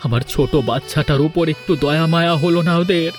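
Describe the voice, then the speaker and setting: A man's voice: a little high, fast talker, faint music behind, close to an everyday voice. A man playing a grieving, vengeful village father in a cartoon fairy tale, emphatic and melodramatic. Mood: anguished.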